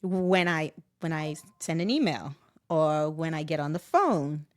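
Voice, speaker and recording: A middle-aged woman speaking reflectively and personally in conversation, with animated, expressive asides. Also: sits low, measured pace. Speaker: guest.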